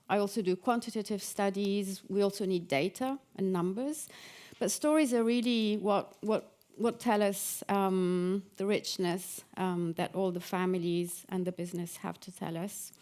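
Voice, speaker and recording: A woman's voice: mid-range voice, a little mellow. A middle-aged woman who grew up in Italy and the UK, a professor giving a conversational conference talk with frequent fillers and restarts. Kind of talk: academic conference presentation.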